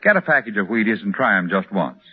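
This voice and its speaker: An American man giving a plain-spoken, earnest pitch in an old-time radio commercial. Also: expressive, fast, husky. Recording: muffled vintage radio broadcast.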